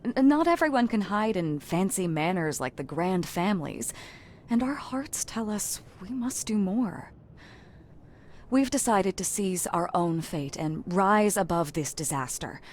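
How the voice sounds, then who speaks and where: A woman's voice: mid-range voice, animated, unhurried, natural voice. A woman playing a friendly, eager charity recruiter in a video game, familiar and coaxing in manner. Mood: sweetly persuasive. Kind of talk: video game cutscene acting.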